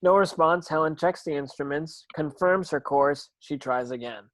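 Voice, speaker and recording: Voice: mid-range voice, normal speed, no room sound. A narrator reading screenplay stage directions in an online table read, now and then stumbling and repeating words.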